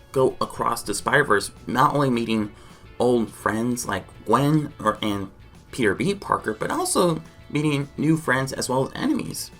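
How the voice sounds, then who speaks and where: An excitable man hosts a reaction vlog over background music. His pitch moves around in a lively way, and he tends to exclaim and repeat his words. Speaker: YouTube reaction host. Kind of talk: enthusiastic reaction and discussion vlog.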